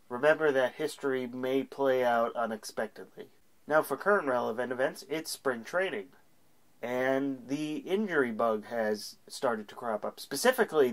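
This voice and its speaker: Stuffy-nosed young man, chatty. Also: medium pitch. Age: young adult. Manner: chatty, with frequent uh fillers. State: stuffy from a cold. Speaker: sports podcast co-host. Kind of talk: casual solo segment to camera. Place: small room at home.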